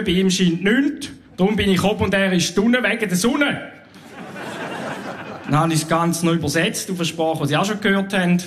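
A man's voice: high-pitched, a bit bright. A man performing a comic spoken-word poem on stage, reciting rhythmic mock-language gibberish with playful chuckles.

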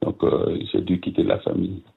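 A man in his fifties from Burkina Faso, a guest speaking by phone, hesitant with many fillers and soft laughs. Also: sits low, monotone, fairly quick, creaky, husky. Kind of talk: phone interview. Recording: tinny phone line.

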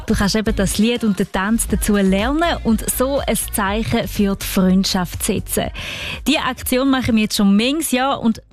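A woman's voice: fairly high pitch, normal speed, faint music behind. A woman with a Swiss German accent presenting for children, chatty and enthusiastic, speaking directly to her young listeners. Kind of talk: chatty scripted presenting for kids.

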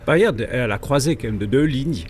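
Man, Swiss French accent, mid pitch, digressive explainer, faint noise. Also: lively intonation, normal speed. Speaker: guest, local rail historian. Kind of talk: radio interview.

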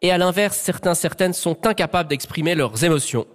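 A man's voice: on the high side, animated, fairly quick. A man delivering a humorous definition as a comedy panelist on a game show. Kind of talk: performed humorous definition to an audience. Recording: reverberant hall with a live audience.

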